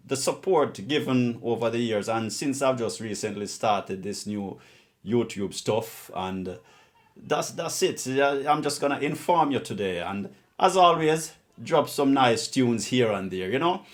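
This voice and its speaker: A man hosting a music show, introducing it in a casual, informal and unscripted way. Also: mid-range voice.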